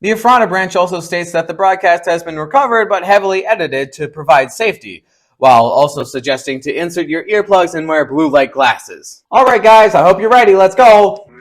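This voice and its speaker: A man's voice: high-pitched, expressive, brisk. A man narrating a scripted explainer, with informal, playful asides to the viewers. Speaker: video essay narrator.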